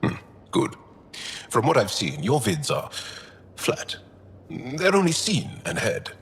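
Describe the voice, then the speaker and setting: A man playing a gruff, measured alien crewmate in a video game, with a raspy, halting, understated delivery. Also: mid-range voice, huge pitch swings, exaggerated voice. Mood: thoughtful, dry. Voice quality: very raspy, gravelly.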